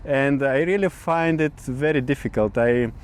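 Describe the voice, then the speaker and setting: A man in his thirties from Lithuania, speaking English with a Lithuanian accent in casual conversation, often pausing to search for words. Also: medium pitch. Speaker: podcast co-host. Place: outdoors in a city.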